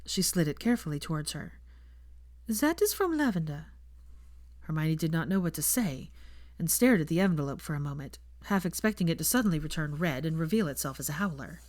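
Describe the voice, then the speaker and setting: A woman's voice: low voice, lively intonation, fast talker, darkish tone, no room sound. A woman narrating a scripted story as an audiobook reader. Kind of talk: scripted fiction narration with character voices.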